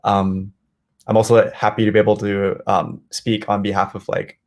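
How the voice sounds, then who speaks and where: A man from Malaysia giving a relaxed, filler-heavy presentation as guest speaker on a video call. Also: on the low side, normal speed. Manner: relaxed, many ums and likes. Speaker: guest speaker, software engineer. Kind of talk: online meetup presentation.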